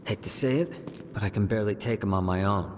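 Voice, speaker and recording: An actor playing a cool, guarded young underworld henchman in a video game, laconic, unhurried and detached. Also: fairly low pitch, slight background noise, lightly acted. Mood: dry, knowing. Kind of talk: video game cutscene acting.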